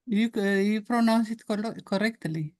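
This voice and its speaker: Soft-spoken woman. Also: mid-range voice, moderate pace. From Ethiopia. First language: Amharic. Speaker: student.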